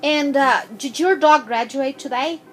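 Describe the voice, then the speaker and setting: A woman interviewing a child, asking gentle, patient questions with frequent hesitations. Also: high voice, unhurried, soft background music.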